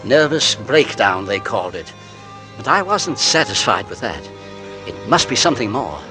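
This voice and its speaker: A man's voice: lowish voice, sing-song, moderate pace, over music. An anxious, devoted husband in an old radio thriller. His genteel, theatrical voice rises and falls dramatically over a musical score. The delivery is that of 1940s suspense acting. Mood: brooding, dramatic. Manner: melodramatic, genteel, hesitant in dialogue. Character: anxious, tender husband narrating his own mysterious illness. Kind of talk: 1940s radio suspense acting, first-person narration and dialogue.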